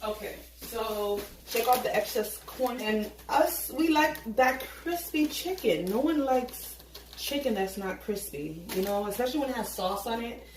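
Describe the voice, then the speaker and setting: A woman's voice: a little high, animated, measured pace, background noise. Chatty woman cooking in an echoey kitchen. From Haitian family. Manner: chatty, joking, swears casually, sings snatches. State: tired, late at night. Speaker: home cook vlogger. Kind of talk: casual cooking vlog chat. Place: home kitchen.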